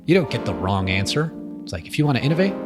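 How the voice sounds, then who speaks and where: A man's voice: medium pitch, big pitch swings, fast talker, over music. A middle-aged man from New York, a guest in an interview, explaining his work in a thoughtful way, with many ums. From New York, USA. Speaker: guest, innovation consultant. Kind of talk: conversational interview. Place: indoor room with a small audience.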